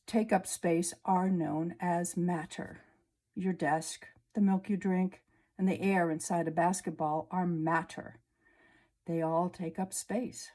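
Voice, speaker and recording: A woman teacher reading a children's science book aloud, slowly and clearly, at a table indoors. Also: medium pitch, dark tone. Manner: slow, clear storybook reading. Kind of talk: read-aloud lesson for children.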